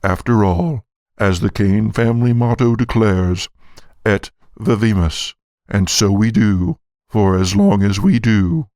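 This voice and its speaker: A man's voice: lowish voice, a little mellow, dry recording, clean studio sound. A man playing a courteous, solemn attorney reading out a formal condolence letter in an audio drama. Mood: solemn formality. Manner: formal, measured. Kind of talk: scripted in-character letter reading.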